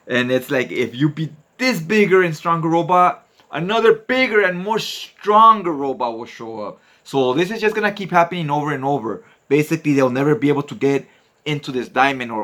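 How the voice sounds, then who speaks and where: A man's voice: on the high side, bright, forceful tone. A man hosting a comic book recap, narrating and commenting in a casual, slangy, conversational way.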